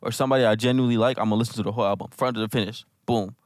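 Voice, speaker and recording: A man's voice: mid-range voice, steady tone, racing, no room sound, clean studio sound. A young man talking as a guest artist in a casual podcast chat, enthusiastic and insistent.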